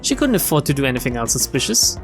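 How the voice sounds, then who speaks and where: A man's voice: mid-range voice, sing-song, quick pace, over music. A man streaming a game, reading its narration aloud expressively with wry, casual commentary. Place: game stream with music behind.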